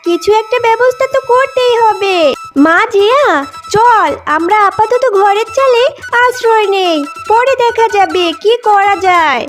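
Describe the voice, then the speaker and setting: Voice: extremely high pitch, measured pace, a bit bright, faint music behind. A performer voicing a tender, worried mother fox in a children's cartoon, speaking in a soothing, protective, motherly way. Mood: reassuring.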